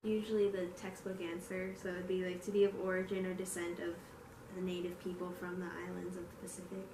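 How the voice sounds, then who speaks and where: A woman's voice: on the low side, flat delivery, fairly quick. A woman from the United States, an interviewee speaking candidly and laughing easily. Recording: echoey room with noise.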